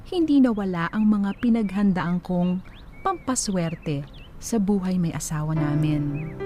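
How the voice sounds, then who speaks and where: A woman's voice: fairly low pitch, dark tone. A woman with a Filipino accent, speaking as a radio host on a story and letter show. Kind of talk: letter narration and casual radio banter. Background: music behind the voice.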